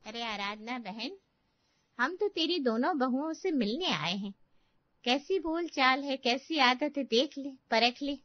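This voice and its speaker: Woman playing a high-voiced nosy neighbour. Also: expressive, measured pace, dry recording, highs cut off. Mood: chatty prying. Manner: prying, chatty questioning. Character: nosy middle-aged neighbourhood woman, chatty, prying. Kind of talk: Hindi family story acting.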